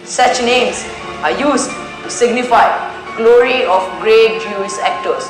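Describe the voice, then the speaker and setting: A teenage student acting a composed, methodical detective in a stage play, speaking formally and deliberately. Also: medium pitch, close to an everyday voice. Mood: deliberate, probing. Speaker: student actor in a school play. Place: school stage, indoors. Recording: very echoey school stage, music behind.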